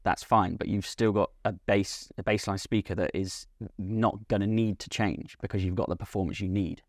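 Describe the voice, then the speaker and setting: A man speaking as a company representative in an interview, giving measured, unscripted technical explanations of a product. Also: fairly low pitch, steady tone, no echo, clean studio sound. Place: at a hi-fi trade show.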